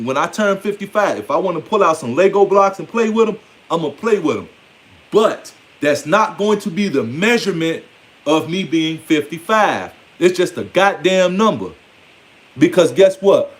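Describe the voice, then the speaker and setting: High-voiced American man in his forties. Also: big pitch swings, normal speed. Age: 40s. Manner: streetwise, emphatic, rambling, often swearing and quoting others. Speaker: online speaker. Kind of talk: informal spoken monologue to followers.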